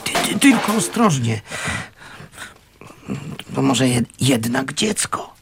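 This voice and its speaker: An actor playing a shabby, cautious but kindly homeless man in a radio play, speaking hesitantly in a husky, breathy voice. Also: medium pitch, big pitch swings, background noise, exaggerated voice. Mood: urgent, cautious. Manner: hesitant, halting. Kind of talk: naturalistic radio drama acting.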